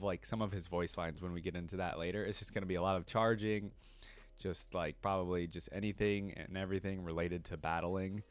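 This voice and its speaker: A man's voice: fairly low pitch, no echo. A man hosting a reaction video, reading lore aloud in a casual way with musing asides. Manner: musing asides between read-aloud passages.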